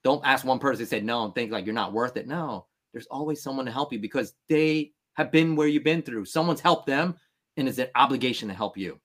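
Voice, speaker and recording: A man's voice: mid-range voice, a little fast. A man in his thirties, born in Vietnam, talking as a podcast guest in an earnest, emphatic, motivational way. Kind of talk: candid interview talk.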